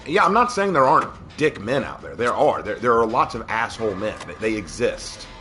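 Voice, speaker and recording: A man with a slightly hoarse voice, casually chatting and reacting as he streams a game. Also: fairly low pitch, very animated, a little fast, pushed and bright, faint music behind. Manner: talkative, opinionated, laughs at the game. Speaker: livestreamer. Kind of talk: casual gameplay commentary.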